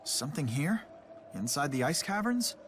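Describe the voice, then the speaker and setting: An actor playing an earnest, kind, gentle young man in a fantasy adventure game, polite and sincere in his delivery. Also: fairly high pitch, big pitch swings, normal speed, a little hiss or hum. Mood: earnest, reassuring. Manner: polite, sincere, soft-hearted. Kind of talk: video game cutscene voice acting. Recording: game music behind the voice.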